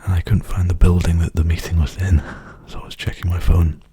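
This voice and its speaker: Young man, breathy whisper, mellow and dark, even intonation, soothing ASMR ramble. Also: low-pitched, a little fast. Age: young adult. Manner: hushed, soothing. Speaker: ASMR video maker. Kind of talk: softly spoken ASMR rambling.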